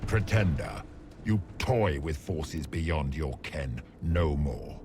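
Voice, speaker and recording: A booming, imperious ancient overlord. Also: male voice, animated, takes it slowly, music in the background, exaggerated voice. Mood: contemptuous. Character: ancient menacing male darkspawn overlord, contemptuous. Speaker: villain. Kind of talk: video game cutscene acting. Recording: orchestral score behind.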